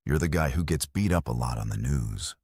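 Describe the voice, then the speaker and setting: A man playing a bored, blunt, deadpan male hero in an audio story. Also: rumbling low, brisk, slightly rough, dry recording, clean studio sound, heavily acted. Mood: blunt, casual.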